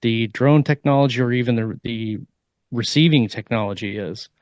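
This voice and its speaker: A man in a casual podcast discussion. His delivery is halting as he works through his explanation. The sound is dry, with hardly any room on it. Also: mid-range voice, a little mellow, no echo. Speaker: podcast co-host. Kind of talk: casual discussion of military technology.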